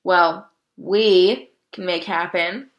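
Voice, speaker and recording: A woman voicing a kind old oak tree in a storybook, an earnest optimist who speaks with gentle determination. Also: fairly low pitch, fairly even intonation, drawn-out words, highs cut off.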